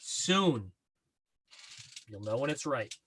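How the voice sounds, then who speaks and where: A man's voice: mid-range voice, big pitch swings, slow pace, a bit bright, a little hiss or hum. A man playing a grumpy, bossy best friend who gives blunt advice in a sitcom table read. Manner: blunt, exasperated, emphatic. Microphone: video call.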